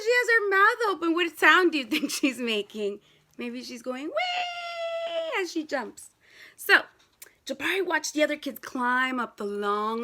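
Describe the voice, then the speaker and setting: High, bright woman reading to kids. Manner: asks listeners questions, dramatic gasps and repeated words. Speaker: storybook read-aloud host for young children. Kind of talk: animated, interactive picture-book read-aloud.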